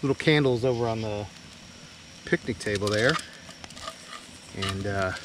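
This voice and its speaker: A man talking casually and haltingly as he hosts an outdoor camping vlog, with a laid-back, folksy manner. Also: lowish voice. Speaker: vlog host. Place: outdoors at a state park and campsite. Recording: noisy outdoor recording with insects.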